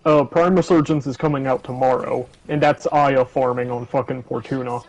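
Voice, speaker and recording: A man's voice: mid-range voice, fairly even intonation, normal speed. A man chatting casually during an online game, blunt, teasing and swearing often, over lo-fi voice chat. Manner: blunt, foul-mouthed, teasing. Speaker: gamer in a co-op session. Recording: dull, lo-fi voice chat audio.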